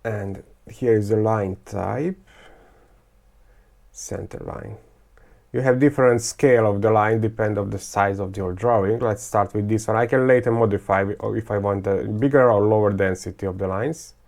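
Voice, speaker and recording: Gravelly-voiced man. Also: on the low side, fairly even intonation, darkish tone. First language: Croatian, Serbian and Hungarian. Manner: thinking aloud, halting, many fillers and restarts. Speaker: teacher. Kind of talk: screen-recorded software tutorial.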